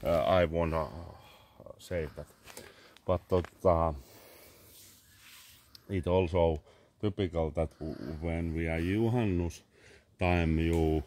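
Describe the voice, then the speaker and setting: A man in his thirties with a Finnish accent, vlogging in halting English full of fillers and swearing, outdoors in a garden on a handheld phone. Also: low-pitched, fairly even intonation, darkish tone. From Finland. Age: 30s. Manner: halting, many "uh" fillers, swearing, sipping a drink. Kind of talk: casual rambling selfie vlog. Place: garden among trees, outdoors. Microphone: phone held at arm's length.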